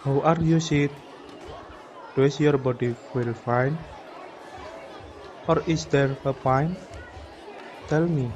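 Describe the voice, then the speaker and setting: A man playing a caring, gentle young friend in a student radio drama, speaking considerately. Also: medium pitch, slow pace, long pauses, highs cut off, some chatter behind, slight background noise, close to an everyday voice. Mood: concerned.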